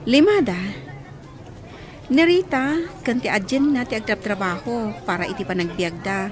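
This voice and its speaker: A woman's voice: mid-range voice, moderate pace, crowd noise, natural voice. A woman playing a concerned young friend in a radio drama, earnestly explaining a family's troubles.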